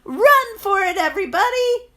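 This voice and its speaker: A woman voicing alarmed villagers in a storybook, shouting out in panic. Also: very high voice, big pitch swings, normal speed, voice pushed up, full character voice. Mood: panicked. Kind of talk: storybook character voice.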